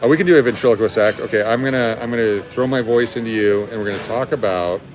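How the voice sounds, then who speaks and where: A man's voice: medium pitch, fast talker, poor audio. A middle-aged man hosting a casual, bantering live stream from a restaurant table into a handheld phone. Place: restaurant dining room, indoors. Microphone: phone at arm's length. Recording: music playing in the room. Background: music.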